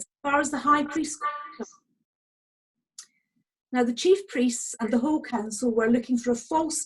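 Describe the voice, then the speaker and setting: A woman narrates a Bible passage as a scripted reading. Her voice is fairly high, with a husky edge. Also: poor audio. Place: online video meeting from home.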